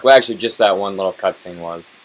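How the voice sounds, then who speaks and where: A man's voice: low voice, fast. A young man casually commenting on a game he is playing, blunt and full of swearing. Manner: blunt, profane. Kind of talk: casual let's play banter.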